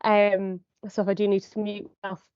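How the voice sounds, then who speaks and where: A woman's voice: mid-range voice, monotone, fairly quick, a little mellow, clean tone, no room sound, highs cut off. A young woman psychologist, sniffly from a cold, giving an explanatory online talk to parents, hedging often with "I suppose" and ums. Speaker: sport and exercise psychologist presenter. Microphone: video call.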